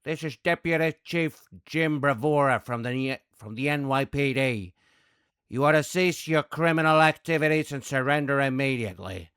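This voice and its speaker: An actor playing a stern, authoritative police deputy chief in a video game, formal and commanding. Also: male voice, medium pitch, moderate pace, fairly bright tone, clear, resonant tone, dry recording, clean studio sound, natural voice.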